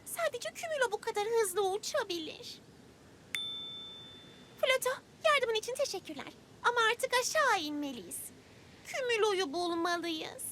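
A woman voicing a brave, cheerful little girl adventurer in a children's cartoon, earnest and expressive. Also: extremely high pitch, measured pace, noisy background, full character voice. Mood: urgent, determined. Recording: loud music behind. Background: loud music.

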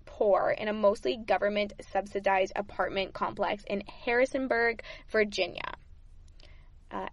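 A woman's voice: mid-range voice, gravelly, no room sound. A young woman hosting a podcast, sharing good-news stories in a chatty, upbeat way with frequent laughs. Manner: chatty and upbeat, laughs often, repeats words. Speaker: podcast host. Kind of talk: casual good-news chat.

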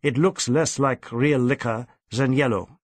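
A man's voice: medium pitch, measured pace, no echo, close to an everyday voice. A male reader puts on a big Swedish man for an audiobook. He is mild and resigned, speaking politely and soberly with some hesitation. The recording is dry.

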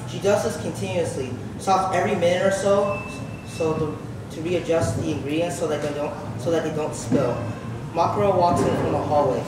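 Young man narrating in echoey hall. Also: fairly high pitch. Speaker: narrator reading stage directions. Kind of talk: scripted narration, read aloud. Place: large public hall, on stage. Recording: echoey hall, distant microphone, clear noise.

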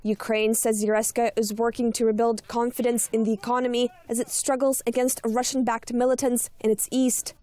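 Female news voice-over, steady even read, flat and mellow, slightly high. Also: normal speed, hardly any pauses, no room sound. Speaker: news reporter. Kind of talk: scripted news narration.

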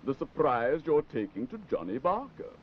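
A grumpy, scolding talking parcel, swooping wildly in pitch. It is bossy and indignant, in sixties puppet-show style on dull film sound. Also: male voice, very animated, normal speed, slightly rough. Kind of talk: 1960s children's puppet show acting. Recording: dull 1960s television film soundtrack, music.

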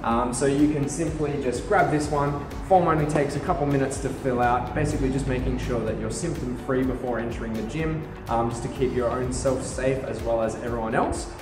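A man's voice: medium pitch, steady tone, a little fast, hardly any pauses. Young man speaking in an echoey gym. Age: 20s. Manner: friendly, filler-heavy, walking and pointing while talking. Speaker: gym staff presenter. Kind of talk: walkthrough tour video. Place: large indoor gym. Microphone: camera mic from a few metres off. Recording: echoey gym hall from a distance, music behind. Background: background music.

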